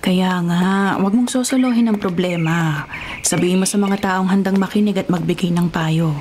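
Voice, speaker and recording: A woman playing a kindly, welcoming small-town nun in a Filipino radio drama, with a gentle, counseling manner. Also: fairly low pitch, normal speed, background noise, lightly acted. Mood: caring.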